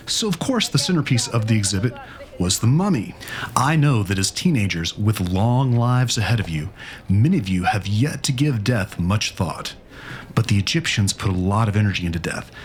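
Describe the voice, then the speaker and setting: Mid-pitched male voice, man acting as earnest history teacher, didactic lecturing, comic dub, faint background noise. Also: lightly acted. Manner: didactic, explanatory.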